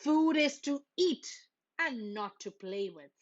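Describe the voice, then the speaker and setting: A firm, scolding mother bear from a picture storybook, as a woman reader puts her on. She speaks high and rather slowly, with big swings in pitch. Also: animated. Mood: exasperated. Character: strict, easily exasperated mother bear. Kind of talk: children's storybook read-aloud. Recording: dull, lo-fi sound.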